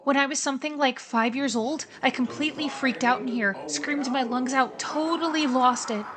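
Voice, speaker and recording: A woman's voice: high voice, moderate pace, a crowd in the background, close to an everyday voice. A woman playing a confident, level-headed teenage girl in a dramatized audiobook, urgent and decisive. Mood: urgent, reassuring.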